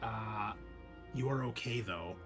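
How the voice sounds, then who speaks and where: A man's voice: lowish voice, takes it slowly. Man running a horror tabletop game, faint music behind. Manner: switches between dramatic narration and casual rules talk. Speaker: game master.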